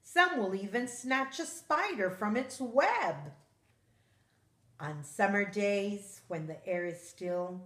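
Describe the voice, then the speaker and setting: Slow-reading woman in an echoey room. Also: lowish voice. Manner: measured, expressive storytelling for children. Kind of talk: children's nonfiction read-aloud.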